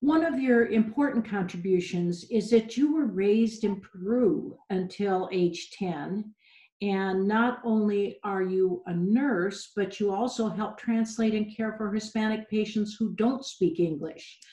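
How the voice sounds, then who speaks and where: A woman interviewer asking measured, sympathetic questions. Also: mid-range voice, mellow. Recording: echoey room.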